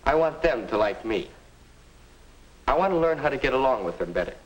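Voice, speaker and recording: A man's voice: medium pitch, big pitch swings, brisk, close to an everyday voice, poor audio. A young man playing a friendly, sociable student, speaking sincere scripted lines in a 1950 instructional film. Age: young adult. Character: friendly, sociable high school boy.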